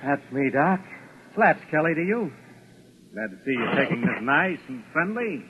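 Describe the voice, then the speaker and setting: A man's voice: fairly high pitch, big pitch swings, normal speed, husky. An actor playing a genial but menacing American gangster boss, talking in falsely friendly tough-guy slang in a 1940s radio drama. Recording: tinny band-limited old radio recording, hiss.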